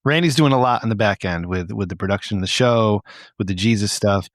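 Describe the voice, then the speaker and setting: A man's voice: on the low side, brisk, no echo, studio quality. A man hosting a podcast in casual, profane, teasing banter, laughing easily. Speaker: podcast host. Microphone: close mic on a group remote call.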